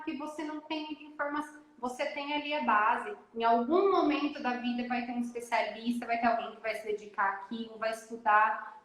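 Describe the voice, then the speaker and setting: A woman in a casual live chat with a fairly high voice, telling her story with emotional, animated energy. She is on a live video call in a very echoey room. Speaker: guest mother. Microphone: phone or laptop on a live video call.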